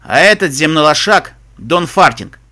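A man voicing a grumpy, greedy zebra smuggler captain in an audiobook, with a hoarse, gruff voice and barking sailor talk. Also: fairly high pitch, animated, measured pace, bright, forceful tone. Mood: brisk, bossy. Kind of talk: audiobook character voice.

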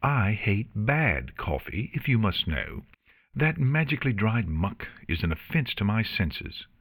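A man's voice: on the low side, very animated, unhurried, no echo, pitched above the performer's own voice, exaggerated voice. A man voicing a haughty, cool pony princess with a curt, formal and dry-witted manner. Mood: indignant.

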